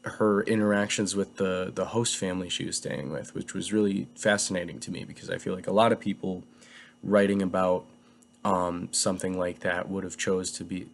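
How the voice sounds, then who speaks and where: Low, flat-voiced young man reviewing books. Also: moderate pace, vocal fry, slight background noise. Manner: hesitant, rambling, many filler words. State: getting over a cold. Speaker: book reviewer. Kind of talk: casual book review.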